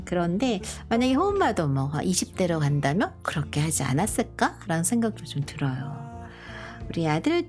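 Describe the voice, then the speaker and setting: A middle-aged woman from Korea who grew up partly in Busan, chatting slowly and gently to viewers with many pauses and fillers. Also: lowish voice. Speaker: live stream host. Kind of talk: casual live chat to viewers. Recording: soft background music.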